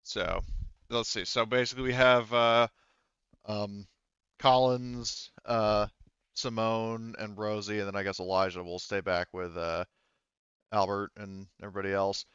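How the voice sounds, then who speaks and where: Man, game master, halting delivery, tabletop roleplaying, dry slightly muffled recording. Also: fairly low pitch, little pitch movement, a bit bright, clean tone, dry recording. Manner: halting, thinking aloud.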